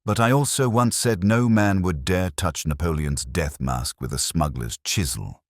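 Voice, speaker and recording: A man reading the part of an aging, detached, brooding gentleman detective in a Victorian audiobook, speaking in grave, cryptic pronouncements. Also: low voice, measured pace, dry recording, clean studio sound. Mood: reflective, grave.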